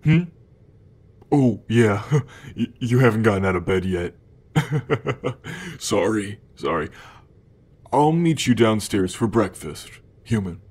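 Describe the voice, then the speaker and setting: A man's voice: on the low side, animated, slow, long pauses, a little mellow, slightly rough, heavily acted. A man playing an always-hungry, playful and grumpy demon in an ASMR roleplay, speaking in a casual, slangy way. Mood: teasing, casual. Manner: casual slangy talk with frequent chuckles. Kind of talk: ASMR roleplay addressed to the listener.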